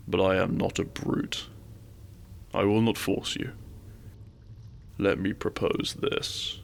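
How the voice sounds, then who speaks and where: A man playing an imperious, possessive dragon king in a romantic fantasy audio roleplay, speaking with formal, commanding courtesy and a gravelly voice. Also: deep voice, heavily acted. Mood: seductive, possessive. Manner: formal, commanding, deliberate menace with seductive courtesy.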